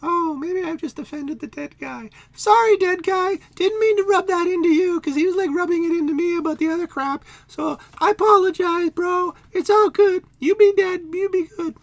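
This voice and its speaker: A man voicing a tiny, squeaky-voiced male creature who talks nonstop in slangy, rambling bursts. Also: normal speed, vocal fry, few pauses, no echo. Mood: chatty. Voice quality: squeaky, high and nasal.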